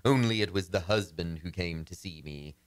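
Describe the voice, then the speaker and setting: An actor playing a suave, self-assured astrologer in a radio comedy, with a soothing, theatrical manner. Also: male voice, deep voice, moderate pace, natural voice. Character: smooth, self-assured male astrologer selling charms to clients. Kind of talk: old-time radio comedy acting.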